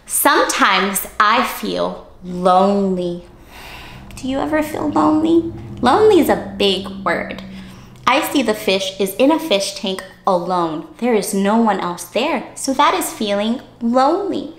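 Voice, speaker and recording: A woman's voice: mid-range voice, animated, a little slow, a bit bright, echoey room. A woman teacher hosting an interactive read-aloud for young children, with exaggerated, playful, child-directed delivery. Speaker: teacher and story-time host for young children.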